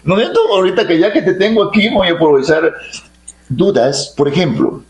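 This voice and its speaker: A man's voice: high-pitched, animated, brisk, over a noisy crowd, rough recording. A man from Peru hosting a casual interview, chatty and playful, with lots of uh-huhs while his guest talks. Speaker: interviewer, digital creator.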